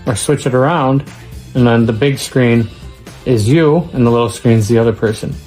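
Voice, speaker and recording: A woman's voice: extremely low pitch, normal speed, warm tone, soft background music, rough recording. A woman giving a casual, plain-spoken product demonstration in an informal way. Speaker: product reviewer.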